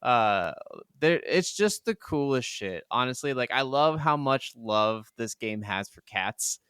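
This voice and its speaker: A man's voice: mid-range voice, lively intonation, unhurried, a bit bright, clear, resonant tone, dry recording, clean studio sound. A man co-hosting a gaming podcast, chatting informally with slang and casual swearing. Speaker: podcast co-host.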